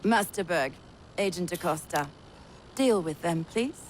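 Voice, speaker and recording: Cold, commanding villainess, animated pitch. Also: female voice, unhurried, slight background noise. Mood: cold, curt. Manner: curt, icily polite. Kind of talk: video game cutscene acting.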